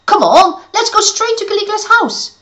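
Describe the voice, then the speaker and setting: A woman's voice: very high-pitched, very animated, moderate pace, sharp tone, pitched above the performer's own voice, full character voice. A woman voicing a young male fairy who is eager and a little boastful. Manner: eager, boyish.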